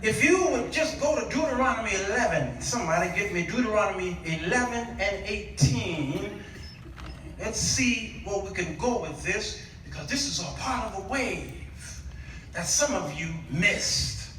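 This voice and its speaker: A man's voice: high-pitched, expressive, normal speed, fairly bright tone. A man preaching a church sermon, moving between conversational storytelling and emphatic exclamation. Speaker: guest preacher. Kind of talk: animated church sermon with call and response. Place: indoors at a church. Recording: echoey church hall, distant mic, faint noise.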